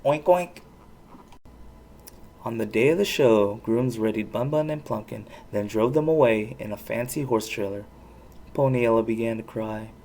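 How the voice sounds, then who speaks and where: A parent reading a children's storybook aloud with expressive narration. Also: mid-range voice, moderate pace, a little hiss or hum.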